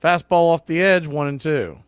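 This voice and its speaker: A man's voice: on the high side, normal speed, clean tone, no echo. Dry-miked male baseball play-by-play announcer. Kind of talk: live play-by-play commentary.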